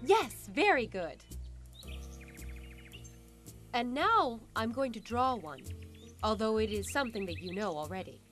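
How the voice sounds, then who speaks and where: A woman playing a friendly cartoon teacher, giving scripted, instructive narration to young children in an expressive way. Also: a little high, normal speed. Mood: cheerful, instructive. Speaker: presenter of children's road safety cartoon. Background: music.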